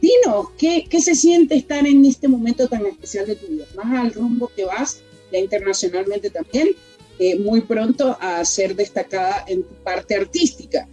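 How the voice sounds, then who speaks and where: A woman's voice: mid-range voice, moderate pace, warm tone, husky, highs cut off, faint music behind. A woman hosting a radio show, effusive and welcoming, piling on superlatives as she introduces a guest. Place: Toronto.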